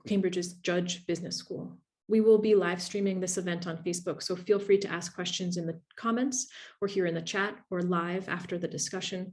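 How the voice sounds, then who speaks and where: Low-pitched woman introducing an event. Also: a little mellow. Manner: polite, read-out introduction. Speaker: event organizer introducing speakers.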